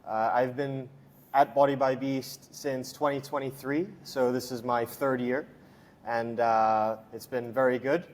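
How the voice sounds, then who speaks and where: A man's voice: mid-range voice, a little slow. Young male coach, from Hong Kong; casual, rambling, filler-heavy interview talk. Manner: relaxed, chatty, many fillers and restarts. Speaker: strength and conditioning coach.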